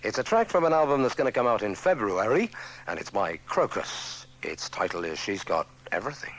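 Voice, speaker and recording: A man delivers live music radio links as a disc jockey. His voice is low and strongly animated, on an old tape with faint background noise. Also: brisk.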